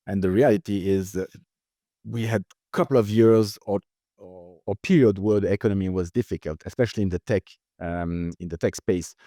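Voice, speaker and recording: A man's voice: fairly low pitch, mellow, no room sound, clean studio sound. A middle-aged man with a Swiss accent, speaking as a finance executive guest in a business interview, hesitant with many fillers and restarts. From Switzerland. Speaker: company CFO, guest.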